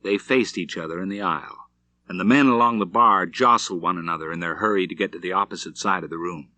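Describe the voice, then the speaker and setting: Male audiobook narrator, low pitch, bright forceful tone, dry studio sound, dramatic western storytelling. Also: fast talker, no echo.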